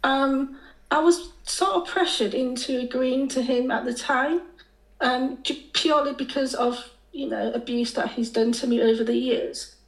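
A woman calling in with a croaky voice after being ill, speaking haltingly with many pauses. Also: high voice, fairly even intonation. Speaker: caller. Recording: echoey call audio.

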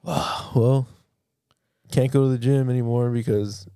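A man's voice: medium pitch, fairly even intonation, measured pace, dark tone, smooth, no room sound, clean studio sound. A young man chatting casually as a podcast co-host in an informal, slangy and blunt way. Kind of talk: casual chat between friends.

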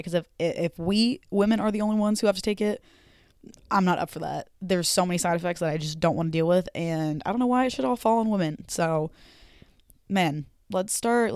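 A young woman hosting a casual solo podcast, rambling and frank with lots of ums and casual swearing, her voice a bit hoarse. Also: lowish voice, darkish tone, dry recording, studio quality. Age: young adult. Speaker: podcast host.

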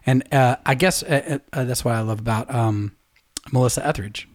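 A middle-aged man talking casually as a podcast co-host, chatty and quick to laugh as he wanders into stories. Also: medium pitch, dry recording. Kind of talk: casual chat.

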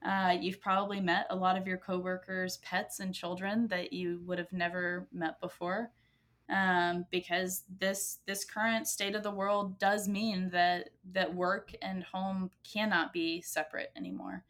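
An American woman, around her thirties, talking conversationally as a co-host, earnest and articulate as she explains in measured phrases. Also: on the low side, little pitch movement, a bit bright. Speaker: podcast co-host and health entrepreneur. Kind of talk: conversational self-introduction. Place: at home, remote call.

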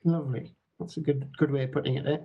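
A man's voice: medium pitch, steady tone, fast, breathy edge, highs cut off. A man hosting a podcast over a video call, introducing guests in a friendly, upbeat way. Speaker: podcast host. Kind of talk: podcast interview.

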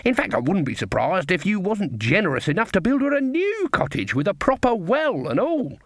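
A man's voice: high-pitched, big pitch swings, moderate pace, a bit bright, no room sound, studio quality, pitched above the performer's own voice, full character voice. A man doing a sly old witch woman. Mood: quietly menacing. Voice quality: sing-song, falsely innocent, folksy dialect. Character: shrewd old woman witch, sweetly menacing.

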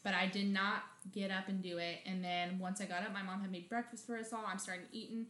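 A woman's voice: mid-range voice, little pitch movement, quick pace, fairly bright tone, echoey room. A young woman, co-hosting a casual podcast and telling dramatic stories with lots of laughter. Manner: chatty, dramatic storytelling with frequent laughs. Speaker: podcast co-host. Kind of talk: casual chat.

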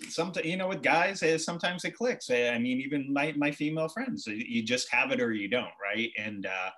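An American man in his forties, an interview guest, telling personal stories in a casual, animated way and acting out bits of dialogue. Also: mid-range voice, fairly quick. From Boston, United States. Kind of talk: casual conversational storytelling.

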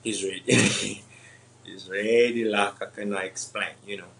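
Young Congolese man, halting and low. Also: fairly even intonation, creaky, a bit bright. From Democratic Republic of the Congo. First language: French or Swahili. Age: young adult. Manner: halting, many ums, laughs often. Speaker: MMA fighter guest. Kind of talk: casual interview answers.

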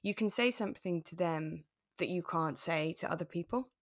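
Low-pitched woman, dry close sound. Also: normal speed, dry recording. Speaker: podcast co-host. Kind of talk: casual discussion of a radio drama.

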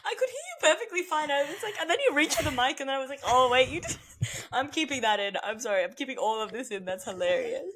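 A woman co-hosting a casual podcast, bubbly and excitable, her voice hoarse and worn out. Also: sits high, fast talker, slight background noise. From New Zealand or Australia. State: hoarse, has lost her voice. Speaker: podcast co-host. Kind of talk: casual chat.

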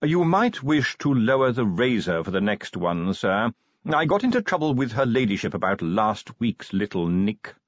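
Guy doing a very proper tactful butler in an audiobook, measured n polite, swoopy pitch, bit muffled. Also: very animated, no room sound. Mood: deferential. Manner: formal, measured, cautiously polite. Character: deferential, unflappable, tactful male butler. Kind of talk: audiobook character voice.

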